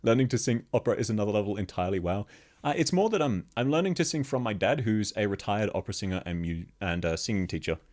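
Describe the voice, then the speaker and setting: Deep bassy guy streaming, chatty, laughs all the time, mic level jumps around as he moves. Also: lively intonation, quick pace, a little mellow. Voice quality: very deep bass voice. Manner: laughs constantly, chatty, answers chat questions. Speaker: VTuber streamer. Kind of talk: casual live-stream chat with viewers. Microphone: streaming microphone, level shifts as he moves.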